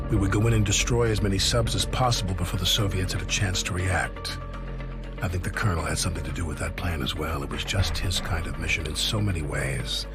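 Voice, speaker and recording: An actor narrating as a weary male soldier looking back on the war in a game cutscene, in a measured, reflective tone. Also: low voice, close to an everyday voice. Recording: music-heavy game cutscene mix.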